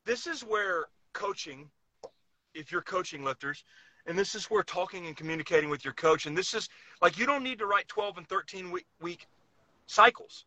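A man's voice: high voice, animated, normal speed, pushed and bright, dry recording, poor audio. A man co-hosting a podcast, giving training advice in blunt, insistent, casual talk with some swearing.

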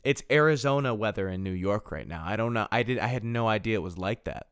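A man's voice: lowish voice, animated, fast, a bit bright, smooth, dry recording, clean studio sound. A man in his twenties hosting a solo podcast, rambling casually and poking fun at himself, often repeating and restarting his phrases. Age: 20s. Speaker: podcast host.

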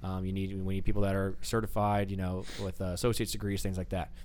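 A man's voice: sits low, level tone, fast talker, darkish tone. A middle-aged man from Indiana, a teacher hosting a casual school podcast, who rambles with many restarts and fillers. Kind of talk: casual chat.

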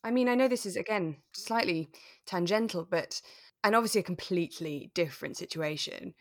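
Low-voiced woman, casual podcast chat. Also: fairly quick, no room sound, clean studio sound. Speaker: podcast co-host. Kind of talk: casual chat about a radio drama.

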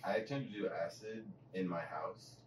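A man with a fairly low voice tells anecdotes in slangy, informal talk. The sound is roomy and a little distant, with a faint layer of noise. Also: moderate pace. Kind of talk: casual chat. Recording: echoey room, distant mic, faint noise.

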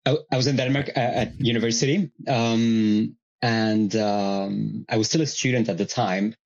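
A man in his thirties, a podcast guest, chatting casually and telling his story in a relaxed, wry, self-deprecating way over a video call. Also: fairly low pitch, normal speed, vocal fry. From Italy. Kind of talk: casual conversation. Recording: dull lo-fi video call audio.

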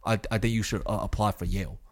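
A man's voice: on the low side, fairly even intonation, slight background noise. Man, New York upbringing, podcast co-host, casual chat, stop-start delivery, restarts. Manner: halting, many restarts and repeated words.

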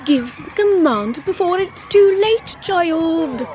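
A woman's voice: very high-pitched, expressive, crowd noise, voice pushed up, full character voice. A woman voicing a fierce, steadying dragonfly queen and protective mother in a children's storybook, regal and intense. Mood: urgent. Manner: regal, urgent, measured.

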